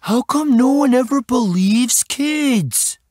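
A man's voice: very high-pitched, animated, slow pace, a little mellow, no echo, studio quality, voice pushed up, full character voice. A man voicing an exasperated, matter-of-fact teenage boy in an audiobook, sounding put-upon. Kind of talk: audiobook character acting.

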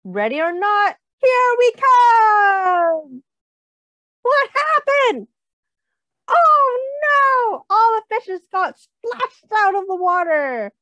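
Slow, sing-song woman reading to children. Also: extremely high pitch, very animated, sharp tone, no room sound. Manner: deliberate, explaining, asks the listener questions. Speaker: teacher reading a picture book aloud. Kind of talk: read-aloud for young children.

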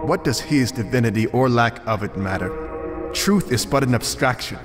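A man's voice: medium pitch, animated, moderate pace, music in the background, lightly acted. A man playing a cold, power-hungry cult prophet in a motion comic, speaking in a pompous, formal, commanding way. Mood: righteous anger. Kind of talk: motion comic dramatic acting.

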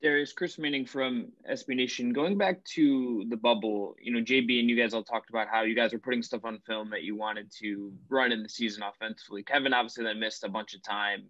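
Fast-talking male reporter, muffled line. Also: medium pitch, fairly bright tone. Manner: long, winding questions. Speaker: sports reporter. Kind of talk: press conference questions.

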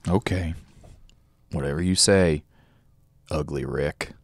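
A man's voice: fairly high pitch, very animated, normal speed, a bit bright, clear, resonant tone, dry recording. A man voicing a righteous, weary saloon bartender with a preachy, colloquial manner in a comedic western role-play. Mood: exasperated.

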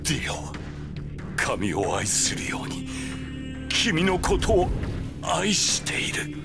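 Anime game priest: serene zealot, deliberate, highish voice with fry. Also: male voice, wildly swooping pitch, over loud music, full character voice. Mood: reverent. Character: devout, serene sinister priest devoted to his master. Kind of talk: anime video game cutscene acting.

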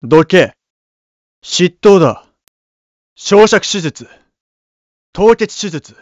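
Slow-spoken, proud young surgeon hero. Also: male voice, sits high, animated, no echo, lo-fi sound, full character voice. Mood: cool, resolute. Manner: curt, haughty, solemn declarations. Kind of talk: video game battle voice lines.

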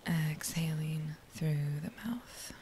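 A woman guiding a body scan meditation in a soft, breathy near-whisper, with a gentle, soothing manner and unhurried phrases. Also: low voice, level tone. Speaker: meditation guide. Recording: faint background noise.

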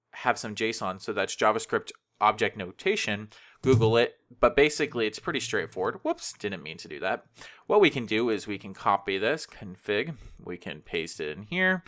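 A man's voice: lowish voice, a bit bright. A man hosting a screencast coding tutorial, explaining things in an informal, conversational way. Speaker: tutorial host, web developer.

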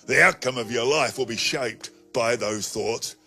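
A man in his sixties preaching a sermon on a studio stage into a handheld mic, emphatic and slowing down to stress key phrases. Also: medium pitch, bright, forceful tone, faint music behind. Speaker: pastor. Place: studio stage with colored backdrop.

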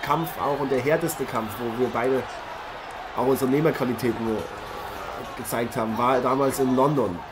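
A man playing a forty-five-year-old veteran boxer who co-commentates a fight in a radio play with excitable, punchy exclamations. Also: medium pitch, moderate pace, natural voice. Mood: fond, amused. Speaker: sports co-commentator. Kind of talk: live boxing commentary in an amateur radio play. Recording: noisy arena crowd behind the voice.

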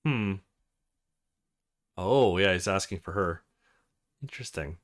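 A man's voice: low-pitched, animated, slow. A man hosting a let's play, making casual, hesitant thinking-aloud asides about a visual novel.

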